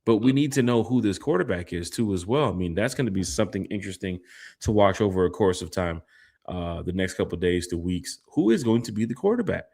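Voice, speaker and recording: A man's voice: low-pitched, lively intonation, fast, warm tone. A man co-hosting a casual sports podcast, talking in a laid-back, joking drawl. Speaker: podcast co-host.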